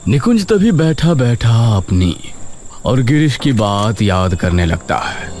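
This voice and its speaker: A man narrating a horror cartoon story in a dramatic, expressive way. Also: mid-range voice, slow, slight background noise. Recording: narration over loud music. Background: music.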